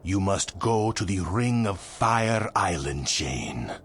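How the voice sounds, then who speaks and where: A man playing a solemn, commanding sorcerer in a fantasy game cutscene, speaking in a formal, measured, portentous way. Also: low-pitched, very animated, a bit bright, slight background noise, full character voice. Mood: grave, commanding. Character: solemn, commanding male sorcerer guiding a chosen hero.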